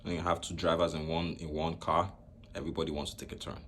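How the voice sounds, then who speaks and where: A young man from Nigeria speaking to camera in a slow, reflective way, pausing often between phrases. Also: extremely low pitch, level tone, a bit bright, slight background noise. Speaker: vlogger. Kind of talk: personal talk to camera.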